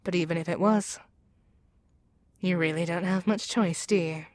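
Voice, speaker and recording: Woman voicing an imperious demon queen, low and theatrical. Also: steady tone, normal speed, darkish tone, natural voice. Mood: commanding, taunting. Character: proud, seductive demon queen brainwashing her captive hero. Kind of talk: ASMR audio roleplay acting.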